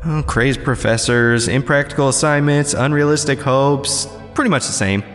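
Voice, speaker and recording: A man's voice: mid-range voice, moderate pace, a bit bright, close to an everyday voice. An actor playing a restless, disillusioned young male college student in an audio drama, sardonic yet earnest. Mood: wry. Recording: long reverb, faint music behind.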